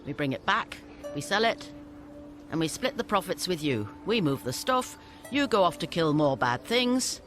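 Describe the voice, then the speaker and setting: A sardonic scavenger boss from a fantasy video game. The delivery is very animated and businesslike, talking down to newcomers while selling a deal. Faint music sits behind the voice. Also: mid-range voice, normal speed. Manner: condescending, businesslike. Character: brisk, shrewd scavenger boss, sardonic dealmaker.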